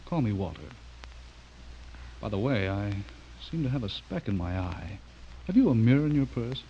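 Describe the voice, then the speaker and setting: An actor playing a smooth, menacing man who is secretly a werewolf, in old-time radio horror style, with suave, falsely courteous delivery. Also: on the low side, big pitch swings, moderate pace, mellow. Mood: falsely friendly. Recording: muffled old radio recording, faint noise.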